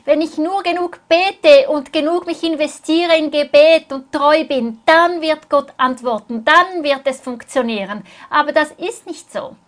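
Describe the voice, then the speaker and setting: A woman's voice: high-pitched, measured pace, few pauses. A woman interpreting a sermon phrase by phrase, speaking earnestly and closely following the preacher.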